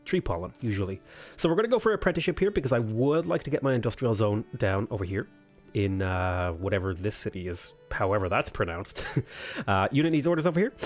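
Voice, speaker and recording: A man with a sniffly cold, casually commentating a strategy game while he thinks aloud, weighing choices with plenty of ums. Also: fairly low pitch. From Ireland. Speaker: let's play host.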